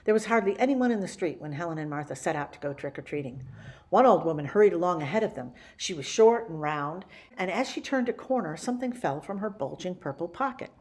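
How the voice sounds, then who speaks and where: A woman reading a children's picture book as a story-time host, with theatrical, deliberate emphasis. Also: lowish voice, lively intonation, a little mellow. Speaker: library story-time reader.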